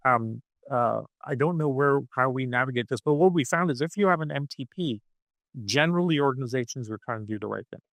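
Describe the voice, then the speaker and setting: A man of middle pitch speaks as a podcast guest, keen to explain, and keeps checking in with the listener with a quick "okay?". The sound is dry and close, with no room around it. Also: expressive, a bit bright, no echo. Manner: punctuates points with "okay?" and "right?". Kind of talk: animated conversational explaining.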